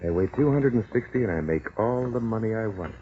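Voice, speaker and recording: An actor playing a big, flashy, amorous American racketeer, cocky and slangy, in 1940s radio drama style. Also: male voice, on the low side, lively intonation, a little fast, close to an everyday voice. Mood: swaggering. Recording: muffled 1940s radio recording.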